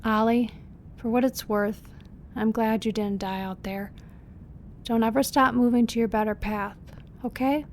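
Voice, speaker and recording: A woman playing a guarded but forgiving character in an audio drama, measured and frank. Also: on the high side, steady tone, a little hiss or hum. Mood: softened. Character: firm, composed woman, guarded yet forgiving.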